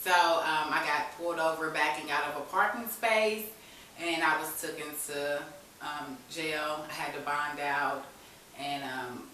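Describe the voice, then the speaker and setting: A woman's voice: low voice, fairly even intonation, measured pace, sharp tone. A woman speaking as an interview guest, telling her own story candidly and informally in conversation. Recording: very echoey room, distant mic, faint hiss.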